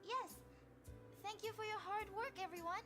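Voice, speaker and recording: A woman's voice: very high-pitched, moderate pace, music in the background. A woman playing a polite, sweet young girl detective in an anime visual novel.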